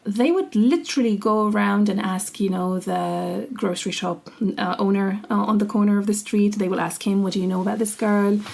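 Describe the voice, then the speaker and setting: A woman's voice: medium pitch, moderate pace, vocal fry, hardly any pauses, darkish tone. A young woman from Syria, co-hosting a podcast and telling personal stories in an earnest, casual way. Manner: earnest storytelling, laughs easily. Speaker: podcast co-host. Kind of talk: casual conversational chat.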